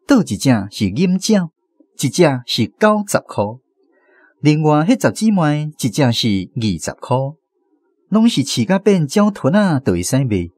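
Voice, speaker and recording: A Taiwanese storyteller looking back on village days in a radio drama. His slightly high voice moves up and down animatedly as he narrates. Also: darkish tone, no echo, studio quality. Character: man recalling his village childhood as storyteller.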